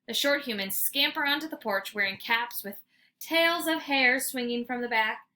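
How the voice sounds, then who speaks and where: A woman reading a children's story aloud like a teacher, with dramatic, expressive narration. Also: on the high side, normal speed, slight background noise. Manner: dramatic, animated.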